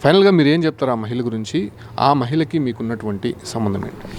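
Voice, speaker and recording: A male news interviewer with a medium-pitched voice. He puts probing questions in long, formal sentences, sometimes hesitating as he builds them. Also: animated, quick pace, a little mellow, slight background noise.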